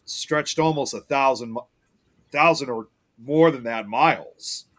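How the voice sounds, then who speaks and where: Brief: easygoing male co-host, bright, forceful voice, rambling chat. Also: medium pitch, a little slow. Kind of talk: casual chat.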